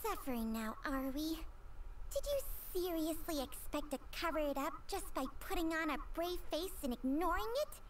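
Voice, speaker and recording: A woman's voice: very high-pitched, expressive, normal speed, noisy background. A woman voicing a proud, ancient talking snake in a video game, blunt and chiding in an imperious manner. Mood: scolding. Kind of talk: scripted video game voice acting.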